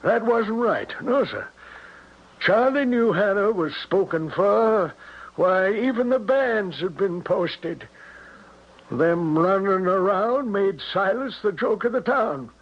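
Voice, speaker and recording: A folksy, defensive innkeeper, with a high, husky voice. He speaks in stammering, homespun turns, and the seventies radio drama recording sounds dull. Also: lively intonation, takes it slowly, heavily acted. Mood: indignant. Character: folksy small-town innkeeper, grumbling, uneasy and defensive. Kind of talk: 1970s radio drama acting.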